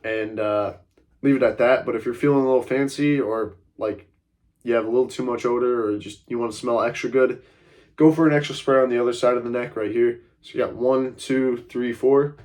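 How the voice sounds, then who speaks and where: A man's voice: medium pitch, fairly even intonation, a little fast, a little mellow. A young man who is a little congested, giving casual self-improvement advice in a rambling way, filling his talk with "like" and "uh" and speaking bluntly with the odd swear word. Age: young adult. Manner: rambling, frequent fillers like "like" and "uh".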